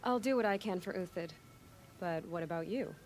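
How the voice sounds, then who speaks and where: A woman's voice: lowish voice, normal speed, a little mellow, slight background noise, lightly acted. An actress playing a young female hunter in a game, direct and terse. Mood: determined. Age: young adult. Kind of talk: video game cutscene acting.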